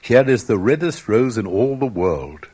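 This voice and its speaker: A man's voice: medium pitch, sing-song, a little slow, dark tone, slightly rough. A man voicing an earnest, pompous young male student in a storybook fairy tale, with music behind. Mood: hopeful.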